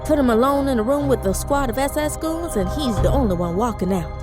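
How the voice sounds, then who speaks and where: High-voiced commanding woman spy, over music. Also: animated, fairly quick. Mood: wry, dramatic. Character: commanding, wry 1940s woman spy leading a team. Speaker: narrator and lead character. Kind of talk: audio drama acting and narration. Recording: music under the voice.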